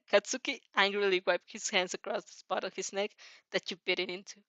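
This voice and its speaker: A woman's voice: mid-range voice, steady tone, normal speed, a bit bright, no room sound, lo-fi sound. A woman reading fan fiction aloud with casual reactions, giggly and often stumbling over words and rereading them. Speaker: narrator and reactor.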